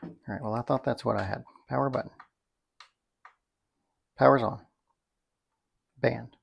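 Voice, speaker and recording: A man giving a casual, unscripted product demonstration in a folksy, wry way, thinking out loud as he goes, with a slightly gravelly voice. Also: medium pitch, measured pace, highs cut off. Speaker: product reviewer.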